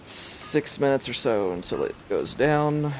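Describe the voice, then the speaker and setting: Chatty male streamer, lively swinging pitch. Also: measured pace, a little hiss or hum, low-quality recording. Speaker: game streamer. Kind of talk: casual live gameplay commentary. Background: video game music.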